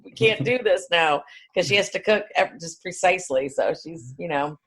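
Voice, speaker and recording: A woman's voice: fairly low pitch, animated, normal speed, a bit bright, slightly rough. A woman co-hosting a podcast, talking in a rambling, blunt, informal way. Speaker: podcast co-host. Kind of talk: casual film discussion.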